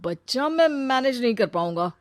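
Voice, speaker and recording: A woman's voice: on the high side, expressive, normal speed, dry recording, clean studio sound, voice pushed up, natural voice. A woman storyteller voicing a confident, insistent young man, a suitor and husband. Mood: displeased, accusing. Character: rich young man, smooth suitor turned suspicious husband.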